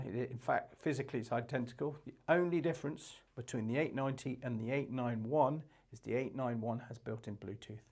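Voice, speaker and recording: A man with a mid-range voice presents products as a shop demonstrator would. His delivery is unscripted and explanatory. He keeps a practical, matter-of-fact manner as he goes through features. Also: mid-range voice.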